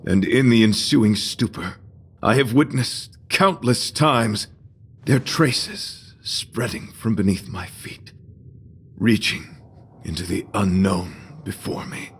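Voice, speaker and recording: A man's voice: lowish voice, takes it slowly, fairly bright tone, husky, heavily acted. A man playing an earnest, courtly knight devoted to beauty in an English game dub, speaking in a formal, florid, theatrical and solemn way. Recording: music behind.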